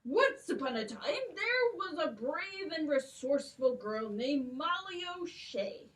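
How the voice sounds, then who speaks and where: A woman librarian telling a fairy tale to young children, sing-song and unhurried. Her pitch is high and moves a lot. She sits some way from the mic. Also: big pitch swings, distant mic. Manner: slow, sing-song storyteller. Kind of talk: storybook narration for children.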